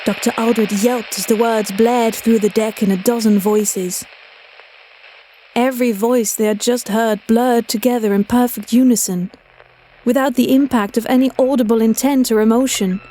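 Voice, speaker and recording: A woman narrating a scripted horror story in a measured, dramatic storytelling manner. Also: fairly high pitch, steady tone, warm tone, a little hiss or hum, rough recording. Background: faint music.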